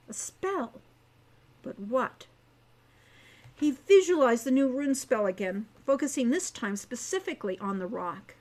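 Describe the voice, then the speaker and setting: A woman reading a scripted fantasy story as an audiobook narrator. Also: fairly high pitch. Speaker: author reading own audiobook.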